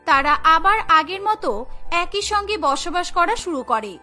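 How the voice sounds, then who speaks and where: A woman's voice: very high-pitched, very animated, a little slow, bright, forceful tone, soft background music. A woman narrating a scripted cartoon story as its storyteller.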